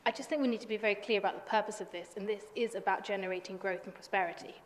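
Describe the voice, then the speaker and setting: A woman councillor making a measured, argumentative case in a council debate, with a few restarts. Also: medium pitch, steady tone, a little hiss or hum. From England. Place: council chamber. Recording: chamber with long reverb.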